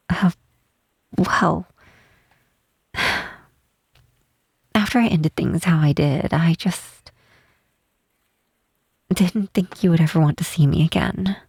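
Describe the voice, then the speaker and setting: A woman playing a shy, guilt-ridden half-troll cleric in an intimate ASMR roleplay, speaking softly and hesitantly with apologetic pauses and sighs. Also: deep voice, no room sound, clean studio sound, full character voice. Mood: nervous, awkward. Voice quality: soft, husky, breathy.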